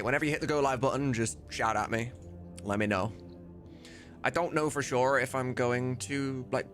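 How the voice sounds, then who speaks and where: A man's voice: mid-range voice, a bit bright. A man chatting casually and informally as a livestream host, with some mild swearing. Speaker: livestreamer and host of a game voice-dubbing mod team.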